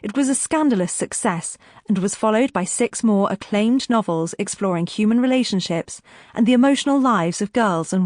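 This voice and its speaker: A woman's voice: medium pitch, darkish tone, no echo, clean studio sound. A woman with a British accent reading a scripted introduction as an announcer.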